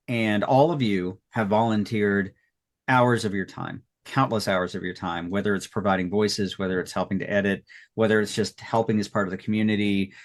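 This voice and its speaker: A man speaking earnestly and sentimentally as a podcast creator in an unscripted retrospective, often repeating phrases for emphasis. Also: on the low side. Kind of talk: heartfelt unscripted retrospective talk.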